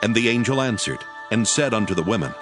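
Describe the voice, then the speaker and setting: A man narrating a dramatized Bible reading in a solemn, measured storytelling manner. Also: fairly low pitch, lively intonation, over music.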